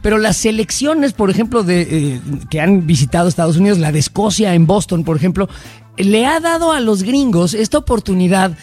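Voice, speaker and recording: A man's voice: sits high, expressive, fairly quick, darkish tone, faint music behind. A Mexican man hosting a casual chat show, blunt and heated and swearing freely. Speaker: show host. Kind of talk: casual chat about football and politics.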